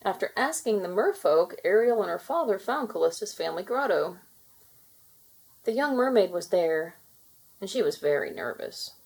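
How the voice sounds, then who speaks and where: A woman reads a children's story aloud with expressive delivery. Her pitch moves around in a lively way, and her voice has a husky, slightly creaky grain. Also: moderate pace, poor audio. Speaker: storybook reader.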